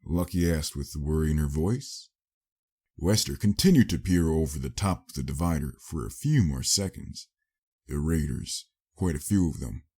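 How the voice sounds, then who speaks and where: Deep, husky male story narrator. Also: lively intonation, moderate pace, mellow. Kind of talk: dramatic scripted story narration.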